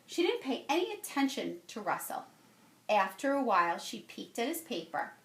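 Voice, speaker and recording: Female storyteller for a children's picture book. Higher-pitched, very animated read, recorded in an echoey room. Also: moderate pace. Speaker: teacher reading a picture book aloud. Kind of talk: expressive children's read-aloud. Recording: roomy, echoey home-style recording.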